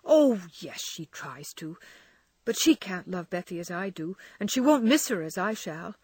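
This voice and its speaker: A woman's voice: fairly low pitch, very animated, a little slow, darkish tone, husky, no room sound, heavily acted. A woman voicing a spirited young woman in grief, whose speech rises into emotional cries. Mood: distraught.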